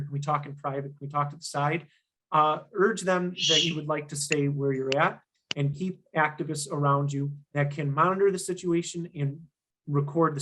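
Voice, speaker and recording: Young guy teaching a workshop, bit hoarse, earnest, lots of ums, voice sits a little high. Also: fairly bright tone, rough recording. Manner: earnest, emphatic, with frequent ums and restarts. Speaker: activist workshop presenter. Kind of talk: instructional presentation.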